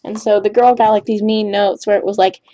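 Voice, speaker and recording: A teenage girl telling a story in a loose, chatty way, full of fillers, restarts and little "right?" checks. Her voice sits at an ordinary middle pitch for a woman. The recording is a bit dull and lo-fi, like a cheap home desk mic. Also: flat delivery, a little fast, mellow. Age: teens. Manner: rambling, filler-heavy, restarts often with "like" and "right?". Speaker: gaming YouTuber. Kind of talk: casual storytime chat over gameplay.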